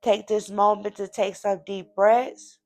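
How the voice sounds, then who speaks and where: A woman hosting a yoga session, speaking in soothing, deliberate, measured phrases as she guides relaxation and gives motivational talk. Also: mid-range voice, level tone, a little mellow, soft background music. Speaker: yoga session host and instructor.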